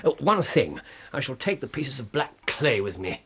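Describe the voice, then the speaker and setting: An actor playing a keen, playful, commanding Victorian English gentleman detective in a radio drama, speaking with an English accent in a brisk, wry, theatrical way. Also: mid-range voice, sing-song, slight background noise. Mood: brisk, assured.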